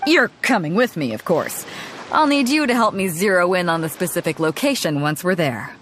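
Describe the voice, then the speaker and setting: A woman's voice: fairly low pitch, very animated, moderate pace, noisy background. A woman voicing a confident female ship captain who talks in a breezy, commanding way. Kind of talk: game voice acting. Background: game music.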